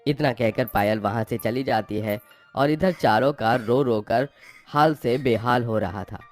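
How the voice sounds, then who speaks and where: A man's voice: mid-range voice, a little slow, faint music behind. A man narrating scripted moral tales for a cartoon as the storyteller.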